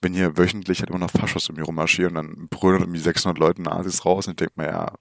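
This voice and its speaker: Low-voiced, slightly nasal man chatting. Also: little pitch movement, fast, creaky, a bit bright, no room sound, clean studio sound. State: getting over a cold. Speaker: podcast host. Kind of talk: casual podcast chat. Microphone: close USB or headset microphone.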